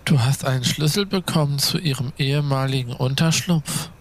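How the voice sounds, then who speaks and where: A man playing a stern, demanding vampire in an audio drama, speaking in curt, deliberate, commanding phrases. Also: medium pitch, natural voice. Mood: suspicious.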